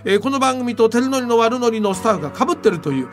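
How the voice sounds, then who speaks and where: An older man hosts a casual talk podcast with a high, very animated voice that swings up and down a lot. He talks fast and gives his opinions with firm emphasis. Faint music plays behind him. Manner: lively, emphatic, opinionated, quick talker. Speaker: podcast host. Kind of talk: casual talk show chat.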